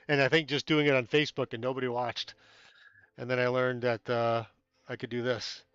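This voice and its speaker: A man's voice: medium pitch, flat delivery, moderate pace, fairly bright tone, clear, resonant tone, dry recording, dull audio. Chatty man streaming from a tent. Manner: rambling, chatty, thanks viewers by name, many fillers. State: a little stuffy-nosed. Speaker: amateur astronomy livestreamer. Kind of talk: casual live stream chat with viewers. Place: inside a small bubble tent outdoors.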